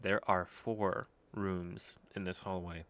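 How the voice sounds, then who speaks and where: An actor playing an excitable, self-satisfied member of a biker crew in an audio drama. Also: low voice, slow, full character voice. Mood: matter-of-fact. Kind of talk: animated audio drama acting.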